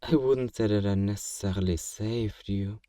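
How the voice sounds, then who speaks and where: A man playing a kind, courteous vampire gentleman in an ASMR roleplay, speaking gently and reassuringly to the listener in an old-fashioned, genteel way. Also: on the low side, normal speed, no echo, clean studio sound, lightly acted. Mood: apologetic, candid. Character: kind, wealthy, genteel male vampire owner, soothing and possessive.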